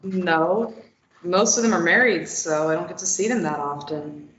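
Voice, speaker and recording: A woman reading a defiant captive young woman at a table read, firing back quick retorts. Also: lowish voice, a bit bright, echoey room, highs cut off, slight background noise, natural voice. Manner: quick retorts, tense and pleading when threatened.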